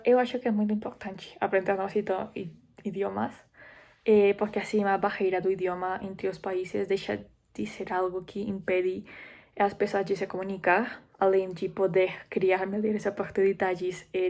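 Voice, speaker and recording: A young woman speaks Portuguese in a steady, even tone. Her pitch barely moves, and she often pauses on "eh" as she works through her thoughts. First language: Spanish. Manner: steady, with many "eh" fillers. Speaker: university student. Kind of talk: class video talk.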